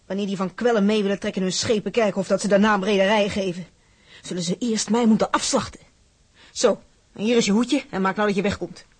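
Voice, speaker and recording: An actress playing a feisty, proud working-class wife in a radio drama, fiercely loyal, blunt and sardonic. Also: female voice, medium pitch, steady tone, a little fast, slightly rough, natural voice. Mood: firm, earnest.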